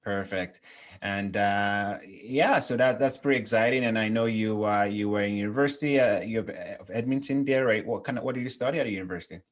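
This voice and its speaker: A man's voice: lowish voice, brisk. Upbeat man hosting a podcast. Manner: upbeat, enthusiastic, friendly. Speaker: podcast host. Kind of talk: casual interview hosting.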